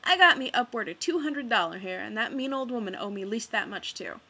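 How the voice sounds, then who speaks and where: A woman's voice: a little high, expressive, fairly quick, bright, forceful tone, breathy edge, exaggerated voice. Woman putting on a drawling old bluesman. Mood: coaxing. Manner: drawling dialect, coaxing.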